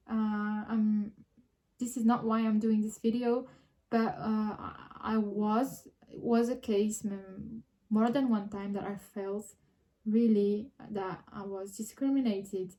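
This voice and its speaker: French-accented woman with a mellow voice. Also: fairly high pitch. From France. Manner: frequent restarts and hesitations, reflective. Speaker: vlogger. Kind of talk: casual personal vlog.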